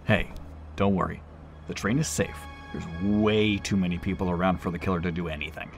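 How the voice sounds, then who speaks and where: A man's voice: deep voice, steady tone, fairly quick, vocal fry, faint music behind. A man playing a friendly, chatty train attendant in an audio drama, with a casual, teasing manner. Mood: reassuring. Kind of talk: naturalistic audio drama acting.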